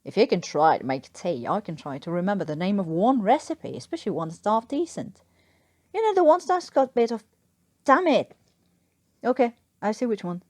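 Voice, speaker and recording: A woman's voice: medium pitch, sing-song, normal speed, a little mellow, no room sound, studio quality. Woman doing a cheeky, under-the-weather Cockney bloke. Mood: rambling, apologetic. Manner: teasing, rambling, informal. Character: cheeky, sickly Cockney Englishman, a wartime airman. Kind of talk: storybook character voice.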